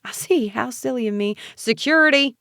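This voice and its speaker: A woman's voice: fairly low pitch, expressive, a little slow, dry recording, clean studio sound. A woman voicing a menacing male political aide, mocking and condescending, in a story.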